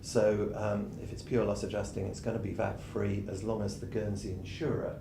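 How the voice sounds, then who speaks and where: A man with a fairly low voice, hoarse and losing it, presents in a measured explanatory way, stopping often to clear his throat. He was recorded in an echoey conference room with a steady low rumble behind him. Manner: measured, explanatory, with frequent ums. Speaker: tax adviser presenting a session.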